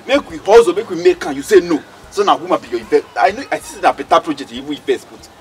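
A man's voice: fairly high pitch, very animated, racing. A man playing a hot-tempered, scolding friend in a drama, with a Nigerian accent and a blustering, streetwise manner. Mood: questioning, reproachful. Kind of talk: comedy drama acting in Nigerian Pidgin.

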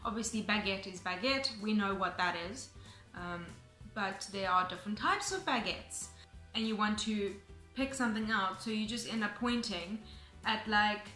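Casual South African woman in her twenties, crisp bright voice, talking to camera in an echoey room. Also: medium pitch, moderate pace, soft background music. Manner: chatty, explanatory, frequent ums and restarts. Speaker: vlogger. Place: bright room indoors, seated on sofa.

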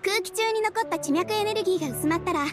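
A woman's voice: extremely high pitch, fast. A woman playing a composed guild receptionist in a Japanese anime game, speaking formally and courteously. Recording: music behind the voice.

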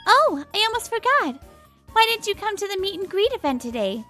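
A woman's voice: very high voice, sing-song, fairly quick, sharp tone, soft background music, heavily acted. A woman playing a cute, clingy, pouty teenage pop idol girl in a manga romantic comedy. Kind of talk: cute anime-style voice acting.

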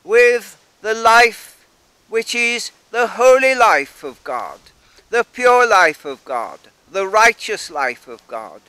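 A man's voice: high voice, sing-song, slow pace, pushed and bright, smooth, no echo, rough recording. An older man outdoors in a churchyard, close to a laptop camera, praying aloud in solemn old-fashioned language. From England. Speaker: intercessor. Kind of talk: spoken prayer and scripture reading. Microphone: webcam or laptop close to face.

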